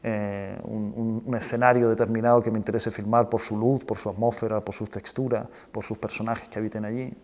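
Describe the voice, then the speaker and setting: A man with a fairly low voice speaks as a film director being interviewed. He is thoughtful and halting, feeling his way through each idea. He often closes a phrase with a short questioning tag. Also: level tone, a little mellow. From Spain and Chile. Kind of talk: reflective interview talk about own work.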